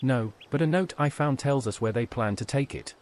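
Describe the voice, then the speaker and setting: A man's voice: medium pitch, moderate pace, a little mellow, natural voice. A man voicing a helpful, direct adventurer in a video game, speaking in a matter-of-fact way. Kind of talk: video game character dialogue, AI-voiced.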